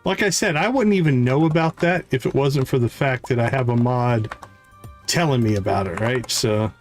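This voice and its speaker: A man streams a video game and chats casually as he plays. His voice sits at a middle pitch as he muses aloud to himself and his viewers. Game music plays behind him. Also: moderate pace. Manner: musing, talks to viewers and self. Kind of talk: casual live gameplay commentary, thinking aloud.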